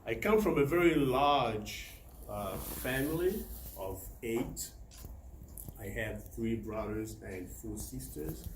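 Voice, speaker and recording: A middle-aged man from the Democratic Republic of Congo giving a prepared personal talk to a club, pausing often with filler sounds. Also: medium pitch, expressive. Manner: hesitant, many filler sounds. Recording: roomy hall with faint ambient noise.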